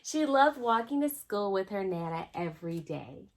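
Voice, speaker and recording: A woman's voice: mid-range voice, lively intonation, moderate pace. A woman hosting a children's storytime, reading a picture book aloud expressively and speaking directly to young listeners. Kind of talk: storytime read-aloud with asides to young viewers.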